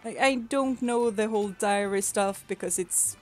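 A woman's voice: medium pitch, moderate pace, soft background music. A young woman from Sweden with a light Swedish accent, chatting casually with her chat while she plays a game, laughing often and restarting her words. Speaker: streamer and teacher. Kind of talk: casual gaming stream chat.

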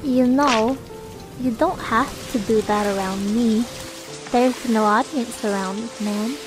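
A woman playing a kindly young caretaker with a gentle, coaxing manner in a comic audio drama. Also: fairly high pitch, takes it slowly. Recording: rain and music behind.

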